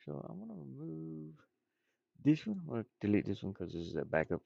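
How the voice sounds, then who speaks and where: A man's voice: on the high side, big pitch swings, fairly quick, gravelly, mellow, no echo, lo-fi sound. A man thinking aloud in casual, rambling chat as he works on game art, muttering and making playful asides. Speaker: indie game designer streaming.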